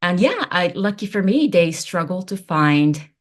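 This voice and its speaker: A woman. She talks casually as an interview guest, choosing her words in halting, thoughtful phrases. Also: on the low side, very animated. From Japan. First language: Japanese. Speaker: film producer, podcast guest.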